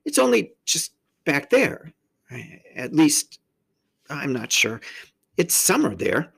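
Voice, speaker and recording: A man's voice: fairly high pitch, huge pitch swings, takes it slowly, vocal fry, fairly bright tone, husky, voice pushed up, full character voice. A man voicing a polite, innocent, puzzled young girl in a storybook, earnest and well-mannered. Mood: amused, unsure.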